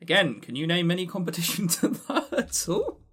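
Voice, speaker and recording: Male podcast co-host: highish voice, animated swings in pitch, chatty, rambling and wryly sarcastic. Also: moderate pace, slightly rough. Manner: rambling, sarcastic, dry humour; swears casually. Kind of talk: casual chat running through award lists.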